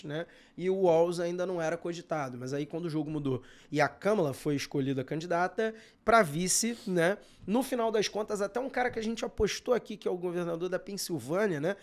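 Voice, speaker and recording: A man's voice: high voice, brisk, hardly any pauses. A man from Brazil co-hosting a news podcast, chatting informally with lots of casual fillers. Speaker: podcast co-host. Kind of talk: casual news-discussion chat.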